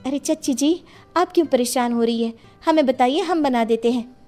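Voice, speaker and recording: A woman's voice: high voice, normal speed, warm tone, soft background music, lightly acted. A woman playing a humble young village daughter-in-law in a cartoon story, deferential and eager to help. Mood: eager, reassuring. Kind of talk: animated moral-story dialogue.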